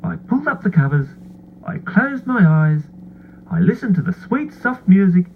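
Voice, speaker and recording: A man narrating a children's bedtime story in an animated, expressive way. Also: high voice, moderate pace. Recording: tinny phone-like sound, faint hum.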